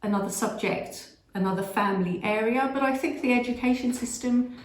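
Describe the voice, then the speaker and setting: A woman's voice: a little high, fairly quick. A British woman with an English accent hosting a casual chat, rambling with plenty of fillers. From Britain. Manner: chatty, rambling with many fillers. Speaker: host. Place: at home, outdoors in summer. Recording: echoey room.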